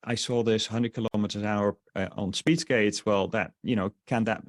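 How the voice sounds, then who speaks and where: Man, Dutch accent, sales webinar host, low-pitched, fillers and restarts, dry sound. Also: steady tone, normal speed, no echo. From Netherlands. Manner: rambling, many fillers and restarts. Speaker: webinar presenter.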